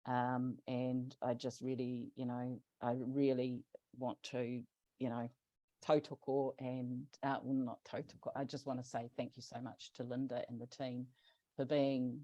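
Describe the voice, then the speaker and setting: A man presenting a project in an online webinar, talking haltingly with many fillers and restarts. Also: medium pitch, completely flat delivery, dark tone, no echo. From New Zealand. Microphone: video call. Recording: dull lo-fi call audio.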